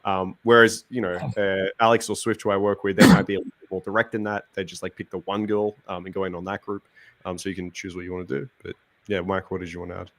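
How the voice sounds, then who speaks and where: Fast-talking low-voiced man. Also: flat delivery, a bit bright, no echo, poor audio. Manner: quick, methodical, heavy on fillers like "like" and "um". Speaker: dating coach on a panel. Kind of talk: casual panel chat.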